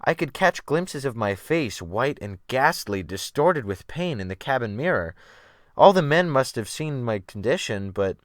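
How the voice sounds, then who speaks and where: A man narrating an audiobook as a dramatic reading of classic fiction, with theatrical, deliberate phrasing. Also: medium pitch, sing-song, no room sound, clean studio sound.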